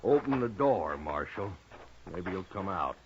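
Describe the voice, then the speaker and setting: An actor playing a grudging, gruff homesteader with a folksy, complaining manner in a 1950s radio western. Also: male voice, low-pitched, sing-song, a little slow. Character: gruff, grudging prairie homesteader, a grown man. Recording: muffled, noisy 1950s radio recording.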